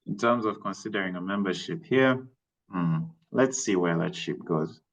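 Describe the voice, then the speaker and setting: A relaxed, measured man from Uganda speaking as a guest on a call. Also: low voice, low-quality recording. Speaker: guest at a club meeting.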